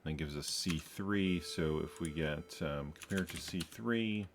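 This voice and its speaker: A man's voice: a bass voice, a little slow, background noise. A man hosting a programming tutorial, thinking aloud as he codes, tired, with many ums and uhs. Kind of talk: casual screen-recorded coding walkthrough.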